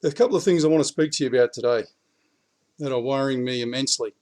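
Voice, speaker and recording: Croaky, blunt Australian man. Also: medium pitch, fast, darkish tone. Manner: blunt, swears, rising heat when urging. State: slightly croaky after flu. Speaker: prepping vlogger. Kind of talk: casual political talk to camera. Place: outdoors in his backyard.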